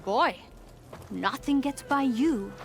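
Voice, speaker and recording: An actress playing a proud warrior squad leader who gives orders and scorns help in a game. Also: female voice, sits high, expressive, slow, vocal fry, music in the background, full character voice. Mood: hostile. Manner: brusque, commanding, scornful. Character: proud female squad leader, brusque and hostile.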